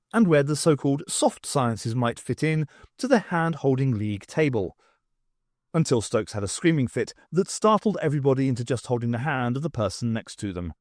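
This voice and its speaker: A man reading an audiobook story as scripted narration, in an expressive, dramatised manner. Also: medium pitch, dry recording, studio quality. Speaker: audiobook reader. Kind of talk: dramatised reading with voices for characters.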